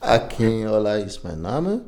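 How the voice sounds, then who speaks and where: A man with a Nigerian accent speaking spontaneously in an interview, halting as he searches for words. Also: on the low side, very animated, darkish tone, soft background music. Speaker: association chairman and diversity trainer.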